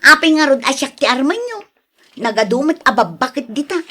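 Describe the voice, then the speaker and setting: A woman's voice: sits high, sing-song, brisk, pushed and bright, full character voice. A woman playing a young single woman fending off old suitors in a radio drama, plain-spoken and exasperated, with an Ilocano accent. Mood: exasperated, apologetic.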